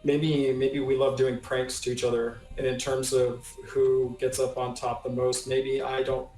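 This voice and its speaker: Male tabletop player, mid-range and flat in intonation, casual improvised delivery, noticeably echoey room. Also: mid-range voice, unhurried. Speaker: tabletop role-playing player. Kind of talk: casual improvised character discussion. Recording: roomy echo on the voice. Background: faint music.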